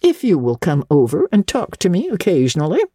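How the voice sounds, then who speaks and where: Woman playing a crusty, husky old lady, very animated. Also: lowish voice, a little fast, no echo, studio quality, voice pushed up, heavily acted. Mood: grudgingly amused. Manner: severe, blunt, clipped, old-fashioned. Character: stern, crusty old lady, grudgingly amused.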